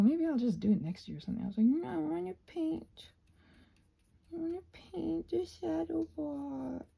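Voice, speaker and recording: A woman chats in a very breathy, husky voice with heavy vocal fry. Her tone is mellow and soft-spoken, often dropping into a whisper. She wanders through her thoughts effusively, as in a casual ASMR ramble while painting. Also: medium pitch. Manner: effusive, wandering, thinking aloud. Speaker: host of a craft ramble ASMR channel.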